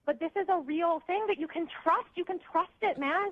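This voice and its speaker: A woman's voice: very high-pitched, brisk, no echo. A young woman calling a radio show by phone, arguing emotionally in an intense, insistent, pleading way. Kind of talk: emotional argument. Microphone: telephone. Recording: dull, band-limited phone line.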